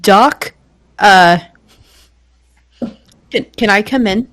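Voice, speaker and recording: A woman playing in a tabletop role-playing game, speaking casually and a little haltingly, in and out of character. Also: mid-range voice, a bit bright.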